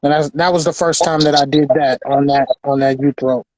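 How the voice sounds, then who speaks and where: American male collector, fairly high-pitched, chatty and informal, doubles back on phrases. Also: fairly even intonation, a little fast, a little mellow, no echo. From Los Angeles, United States. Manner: repeats phrases, informal slang. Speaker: sneaker collector and designer presenting his pairs. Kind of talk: casual show-and-tell chat.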